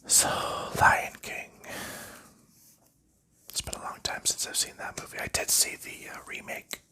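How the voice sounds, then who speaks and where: A man talks in a steady whisper throughout, with a strikingly bright, crisp edge to the air in his voice. He rambles casually about whatever comes to mind, stopping for ums and small restarts as he handles game pieces. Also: a little slow. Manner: soft rambling chatter with frequent ums. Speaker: ASMR channel host. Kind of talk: whispered ASMR board game unboxing.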